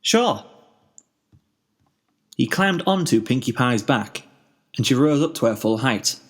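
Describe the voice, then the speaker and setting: Man narrating stories with lively intonation. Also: mid-range voice, normal speed, breathy edge. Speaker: fan fiction narrator. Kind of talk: expressive scripted story narration.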